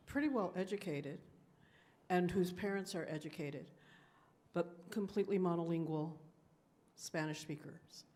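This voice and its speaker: Woman, teacher, low voice, earnest, measured question to a panel. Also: a little mellow.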